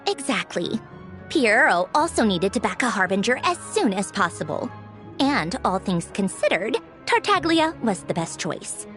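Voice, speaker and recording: A sharp, dismissive game engineer boss; brisk and wry, pitch moves a lot. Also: female voice. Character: sharp-tongued female Fatui harbinger engineer, bossy. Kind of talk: game voice acting. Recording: game dialogue over soft music.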